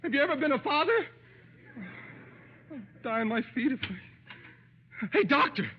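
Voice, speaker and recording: A man's voice: extremely high pitch, very animated, a little slow, exaggerated voice. An actor playing a frantic, anxious young husband and new father in old-time radio drama style. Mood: frantic, flustered. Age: young adult. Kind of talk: 1930s-40s radio drama acting. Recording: muffled, noisy, reverberant old radio recording.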